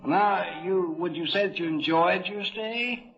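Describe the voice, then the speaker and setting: A man's voice: sits high, a little slow, creaky, husky. A man hosting an old-time radio program, interviewing and narrating in a folksy, conversational manner. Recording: dull, muffled old radio recording.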